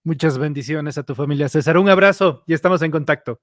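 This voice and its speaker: A man's voice: a little high, fast, no room sound, studio quality. A man hosting an interview, cordial and jokey with his guest. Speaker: interview host. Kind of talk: friendly interview wrap-up.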